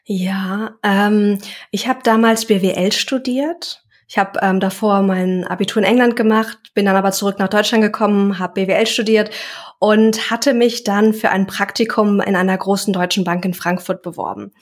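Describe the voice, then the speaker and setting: A woman's voice: medium pitch, steady tone, moderate pace. A young woman, a self-employed coach, talking reflectively in a casual interview and now and then searching for words.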